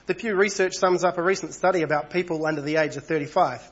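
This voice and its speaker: Husky guy with a cold giving a chatty evening sermon, slightly high voice, dull muffled sound. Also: fast talker. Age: over 35. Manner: conversational, reads passages aloud, small asides. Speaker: preacher.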